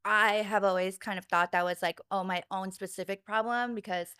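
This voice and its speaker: A woman's voice: medium pitch, steady tone, normal speed, bright, forceful tone, clear, resonant tone, no room sound, clean studio sound. A woman speaking casually as a guest on a podcast interview. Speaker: podcast guest, video director and producer.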